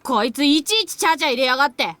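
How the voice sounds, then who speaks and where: A woman voicing a hot-tempered young boy in an anime-style game, with rough, blunt speech and a sore loser's temper. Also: sits high, racing, sharp tone, no room sound. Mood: irritated. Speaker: visual novel voice actor.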